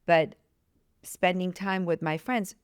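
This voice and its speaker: A woman's voice: fairly low pitch, fairly even intonation, takes it slowly, clear, resonant tone, no echo, clean studio sound. A woman from Iran, a podcast host speaking earnestly and emphatically in conversation. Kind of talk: casual interview chat.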